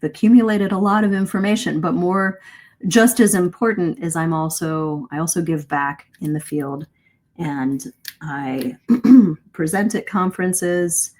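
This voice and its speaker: A woman's voice: on the low side, a little slow, a little mellow. A middle-aged woman coaching English pronunciation in a live webinar, talking earnestly and conversationally, often correcting herself mid-sentence. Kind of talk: live-streamed webinar teaching.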